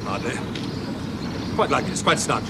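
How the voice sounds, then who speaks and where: An actor playing a dour, sceptical facilities manager in a 1970s TV drama, dry and understated. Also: male voice, mid-range voice, sing-song, normal speed, close to an everyday voice. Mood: gloomy, grumbling. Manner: dry, understated, matter-of-fact. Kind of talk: 1970s British TV drama acting. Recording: 1970s TV soundtrack, loud background noise.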